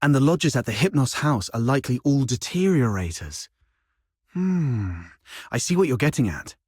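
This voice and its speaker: A worldly, streetwise schemer from a video game, talking in a wry, conversational way. The voice is mid-pitched and very dry, with no room around it. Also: male voice, very animated, moderate pace, a little mellow, slightly rough, dry recording. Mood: musing, serious. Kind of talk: video game cutscene voice acting.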